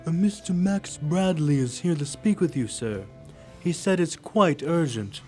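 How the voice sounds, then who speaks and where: A man's voice: on the high side, animated, unhurried, a little mellow. A man playing a formal, deferential butler in a noir audio drama, music behind him. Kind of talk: noir radio drama dialogue.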